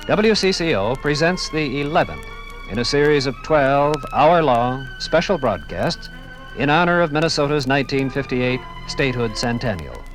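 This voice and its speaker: A man narrating a 1950s radio documentary from a script in formal, dramatic, measured oratory. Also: mid-range voice, animated, low-quality recording. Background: music.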